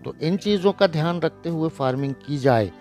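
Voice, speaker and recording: A man's voice: a little high, lively intonation, brisk. A man hosting a poultry farming channel, narrating a voice-over with blunt, lecturing and sarcastic remarks about careless buyers. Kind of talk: voice-over narration for a farm video. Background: soft background music.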